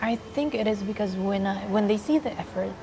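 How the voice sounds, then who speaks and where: Young Filipino-accented woman, soft-spoken and hesitant, interview over clear ambient noise. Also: mid-range voice. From Philippines. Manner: soft-spoken, hesitant with many pauses, laughs often. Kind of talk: casual interview chat.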